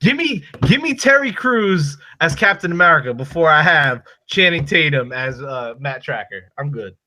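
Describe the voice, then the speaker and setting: A man with a Boston accent hosting a podcast, giving loud, profane, emphatic opinions in casual chat. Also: a little high, expressive, normal speed. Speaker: podcast host. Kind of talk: casual chat about movies and toys.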